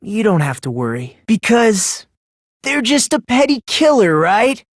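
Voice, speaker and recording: A woman with an American accent voicing a polite, soft-spoken young man with an unsettling hopefulness in a video game. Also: fairly high pitch, sing-song, a little slow, dry recording, clean studio sound. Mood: reassuring, fervent. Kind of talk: English video game voice acting.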